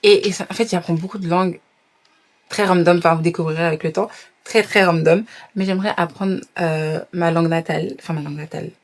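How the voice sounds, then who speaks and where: Chatty young woman vlogger, slightly low. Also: fairly even intonation, a little fast. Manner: chatty, drops in English phrases. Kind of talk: casual vlog chat.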